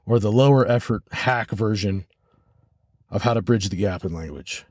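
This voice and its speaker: An American man hosting a podcast solo, talking reflectively and haltingly as he thinks aloud. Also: lowish voice, darkish tone, dry recording, studio quality. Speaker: podcast host.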